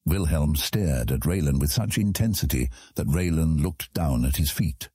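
Deep, mellow, husky male narrator. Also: expressive, normal speed, dry recording, studio quality. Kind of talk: scripted audiobook narration of fantasy.

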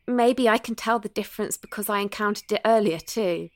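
A dry, close actress's performance of a woman ghost hunter in an audio drama. She is practical but tentative and careful, speaking conversationally at an average pitch. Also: brisk, no room sound, lightly acted. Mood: uncertain.

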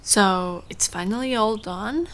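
A middle-aged farm wife in an audio drama. Her voice sits at a middling pitch, affectionate and wry, gently needling those she loves. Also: slow. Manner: fond, wry.

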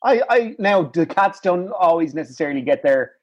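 A man's voice: fairly high pitch, expressive, moderate pace, dull audio. A man playing a shifty, evasive small-time businessman on a comedy call-in. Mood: sheepish. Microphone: video call.

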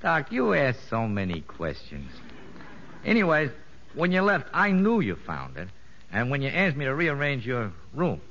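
An actor playing a shrewd, streetwise convict ringleader in a 1950s radio drama, sly and slangy. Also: male voice, mid-range voice, huge pitch swings, a little slow, fairly bright tone, a little hiss or hum, full character voice. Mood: sly, confiding. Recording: echoey, dull vintage radio recording.

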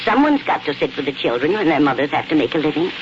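A woman's voice: low voice, sing-song, fast talker, noisy background. An actress playing a wistful, devoted elderly mother in a 1940s radio drama, speaking in a homely, reflective way. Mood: matter-of-fact. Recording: muffled 1940s radio broadcast with music.

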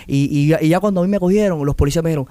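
Fast-talking young Cuban man, high voice. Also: dry recording. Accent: Cuban Spanish. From Cuba. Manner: animated, acts out quoted voices, restarts often. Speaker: guest artist. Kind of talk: casual interview storytelling.

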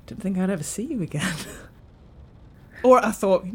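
A woman's voice: mid-range voice, animated, normal speed, darkish tone, husky. An actress playing a bossy, teasing, playful young British woman in a naturalistic audio drama, with exclamatory, dramatic delivery. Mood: awkward, surprised. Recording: music under the voice.

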